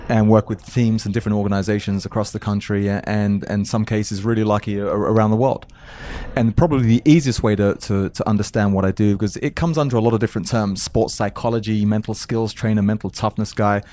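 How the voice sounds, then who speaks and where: Man, South London accent, low-pitched, earnest, repeats words, podcast guest. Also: steady tone, a little fast, dark tone, a little hiss or hum. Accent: South London English, softened by years in America. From South London, England. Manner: earnest, repeats words when starting sentences. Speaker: mental toughness coach, guest. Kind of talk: podcast interview.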